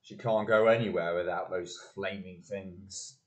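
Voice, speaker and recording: A man's voice: deep voice, fairly even intonation, a little slow. A man playing a jovial, teasing, grumbling husband in a community audio play. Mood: wry.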